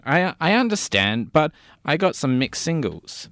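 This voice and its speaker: A man's voice: a little high, big pitch swings, measured pace, a bit bright, no room sound, studio quality. A stammering, flustered man. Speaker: comedy podcast player. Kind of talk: improvised comedy sketch.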